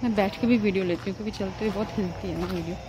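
A young woman with an Indian accent talks casually to her viewers, chatty and easygoing, switching between Hindi and English. She records on a handheld phone in an outdoor garden cafe, and steady background noise runs under her voice. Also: medium pitch, very fast. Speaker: vlogger, startup founder. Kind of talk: casual vlog talk to camera. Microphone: phone camera held by hand.

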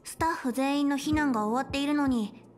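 A woman voicing a young, polite and caring space station chief in a Japanese game, speaking in a measured, articulate way. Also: high-pitched, natural voice. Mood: worried, stern. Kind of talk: Japanese game voice acting, explanatory dialogue. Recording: game dialogue over background music.

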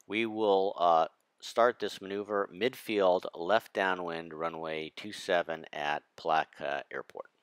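A man, a flight instructor, narrating a lesson on approach and landing in a measured, precise way. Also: low-pitched, fairly even intonation, smooth, no room sound. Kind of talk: scripted narration over slides.

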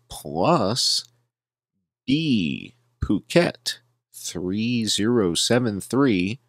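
A man reading a written document aloud as narrator, deliberately, in short, emphatic, measured phrases. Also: deep voice, creaky, no echo, studio quality.

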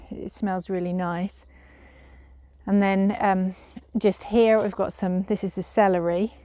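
Woman, 30s, home gardener, casual tour; mellow, low-key voice, little pitch movement, hesitant, recorded outdoors. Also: no echo. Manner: chatty, hesitant, with frequent fillers. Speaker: gardener showing her garden.

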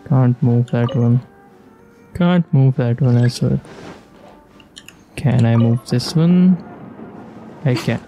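Mellow man musing through a game. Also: mid-range voice, rough recording. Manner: musing aloud, short reactive remarks. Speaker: gamer playing and reacting. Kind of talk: casual playthrough commentary. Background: video game music.

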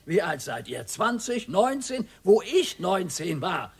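A grumpy retired husband in a radio play who flares up easily. His voice sits high for a man and sounds gravelly and husky. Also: very animated, unhurried, exaggerated voice. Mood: indignant. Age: older. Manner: blustering, indignant. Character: grumpy retired older husband, quick to bluster.

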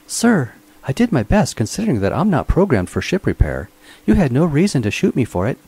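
A man's voice: medium pitch, huge pitch swings, fairly quick, vocal fry, darkish tone, breathy edge, pitched above the performer's own voice, exaggerated voice, rough recording. A man voicing a fussy, servile male robot servant who complains politely. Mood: plaintive. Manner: prim, polite, whiny complaining.

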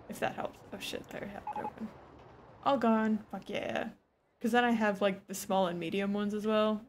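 A woman livestreaming a game, chatting casually, laughing often and swearing freely, her voice a little hoarse. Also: mid-range voice, steady tone, moderate pace, long pauses. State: slightly hoarse. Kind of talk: casual gameplay chat. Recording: noisy home stream recording.

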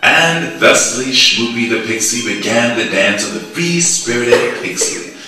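A man's voice: medium pitch, lively intonation, unhurried, pushed and bright, faint music behind. A man giving theatrical storybook narration as the narrator of a stage play. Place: small theatre stage, music behind. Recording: echoey stage recording from far off.

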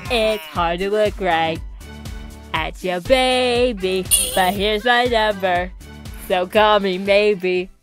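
A woman's voice: mid-range voice, slow pace, soft background music, natural voice. A woman voicing a cheeky, teasing teenage boy. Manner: playful, sing-song teasing. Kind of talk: fan comic dub.

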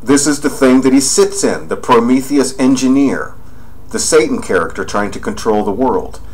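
A man's voice: medium pitch, moderate pace, darkish tone, rough recording. A man narrating over screen images, rambling and pointing out details as he goes. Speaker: video narrator.

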